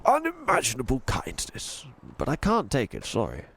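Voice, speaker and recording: A man's voice: medium pitch, huge pitch swings, normal speed, gravelly, fairly bright tone, husky, heavily acted. A man voicing a courteous, proud old tramp with a posh English accent in a storybook reading. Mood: grateful, kindly.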